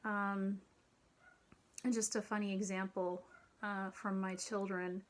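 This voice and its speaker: A middle-aged woman lecturing at a slow, unhurried pace and a middle pitch. She leans on frequent ums and clears her throat now and then while explaining from a room at home. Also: flat delivery. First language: English. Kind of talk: recorded online lecture. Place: home room with children's drawings on the wall.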